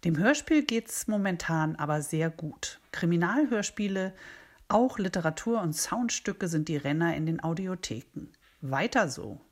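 Low-pitched, husky woman giving a greeting. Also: expressive, measured pace. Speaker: award coordinator giving a greeting. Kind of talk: scripted greeting message.

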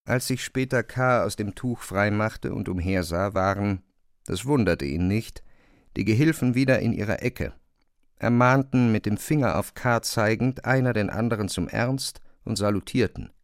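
A man's voice: on the low side, normal speed, dry recording. A man narrating a literary novel as a scripted reading, close to a studio microphone. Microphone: close, dry studio microphone.

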